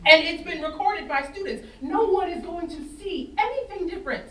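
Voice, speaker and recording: High-voiced, animated, stern mother in a roomy space woman acting. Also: normal speed. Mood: indignant, scolding. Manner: stern, insistent, repeating words for emphasis. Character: angry, wounded mother confronting her teenage son. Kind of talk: staged play reading, naturalistic dramatic acting. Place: indoor performance space before an audience. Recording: roomy stage, distant mic, faint noise.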